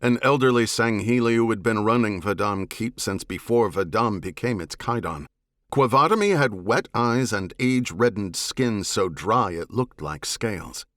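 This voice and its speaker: Male audiobook narrator: low register, measured and serious, dramatic scripted prose, very dry close sound. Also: expressive, fairly bright tone, dry recording.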